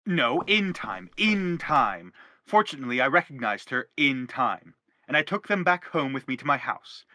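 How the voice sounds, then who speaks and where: Actor as an anguished, bright-voiced philosophizing father. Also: mid-range voice, very animated, moderate pace, no echo. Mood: self-justifying. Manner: declamatory, formal, rhetorical. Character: self-justifying, anguished middle-aged father, given to philosophizing. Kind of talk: theatrical stage play acting.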